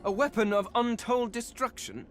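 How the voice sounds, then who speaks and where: An actor voicing a proud, cold character in a video game. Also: male voice, very high voice, steady tone, moderate pace, faint music behind. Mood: cold scorn.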